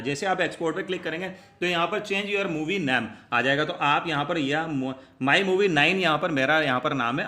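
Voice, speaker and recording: A man's voice: on the high side, pushed and bright. A man presenting a step-by-step app tutorial in a chatty, repetitive, explanatory way.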